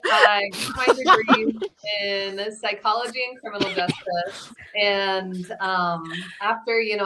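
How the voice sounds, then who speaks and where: Chatty woman with a really bright clear-cut voice, animated, telling her story on a panel. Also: medium pitch, low-quality recording. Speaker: voice actor, panel guest. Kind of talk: casual chat, personal storytelling.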